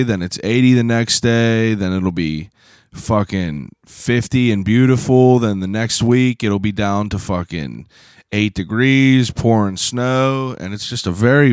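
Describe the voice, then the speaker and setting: A man in his thirties from Pittsburgh, hosting a podcast in a casual, rambling solo talk, hoarse from shouting, swearing freely and filling gaps with "uh". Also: lowish voice, dry recording, clean studio sound. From Pittsburgh, USA. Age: 30s. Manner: rambling, profane, self-deprecating; frequent "uh" fillers and throat clearing. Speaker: podcast host.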